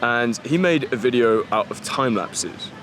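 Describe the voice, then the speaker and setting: A man's voice: mid-range voice, expressive, measured pace, low-quality recording. A man vlogging casually to camera, enthusiastic and slangy with lots of fillers. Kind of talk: casual vlog talk to camera. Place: outdoors in a city park.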